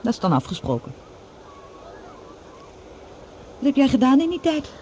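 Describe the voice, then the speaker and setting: An actress playing an earnest, vulnerable scientist on a Mars project in a Dutch science fiction radio drama. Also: female voice, sits high, sing-song, very fast, dark tone, muffled recording, a little hiss or hum. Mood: inquiring.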